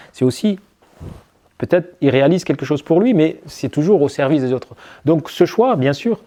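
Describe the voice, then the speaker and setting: Guy w a gravelly mellow voice, lots of euh and restarts, thinking out loud. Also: medium pitch. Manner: hesitant, many fillers and restarts. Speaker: guest, army officer. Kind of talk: reflective interview answers.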